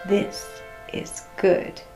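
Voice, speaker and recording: A woman voicing God as a grand storybook creator, giving slow, declarative commands. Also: mellow, soft background music. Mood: grand, commanding.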